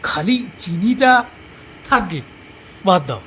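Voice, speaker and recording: An actor playing an older, bookish retired detective in an audio drama, a husky-voiced husband with a teasing, easygoing way of talking. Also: male voice, sits high, slow, a little hiss or hum, exaggerated voice. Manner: teasing, expressive.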